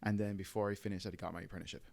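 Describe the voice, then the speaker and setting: A man's voice: lowish voice, flat delivery, rapid-fire, vocal fry, a little mellow. A man in his thirties with an Irish accent, chatting casually as a podcast guest, rambling with plenty of fillers. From Ireland. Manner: rambling, full of fillers like "you know". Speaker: guest, tattoo artist.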